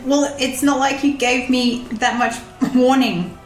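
A woman's voice: sits high, steady tone, a little slow, roomy sound. A woman playing a cool, skeptical crew member in a space audio drama, hesitant and dry.

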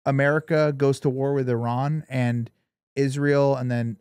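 A man with a middle-pitched voice talks politics in an informal, emphatic podcast style. He swears casually as he makes his points. The sound is dry, with little room in it. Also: fairly even intonation, moderate pace, a little mellow, clear, resonant tone, no room sound. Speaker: podcast co-host. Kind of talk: casual opinionated political chat.

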